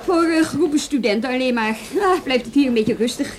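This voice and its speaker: A shrewd, inquisitive woman in a radio play, her voice pitched a little high. She talks casually and dryly, always probing for more. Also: lively intonation, a little fast, darkish tone. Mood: casual, curious. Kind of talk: naturalistic radio drama dialogue.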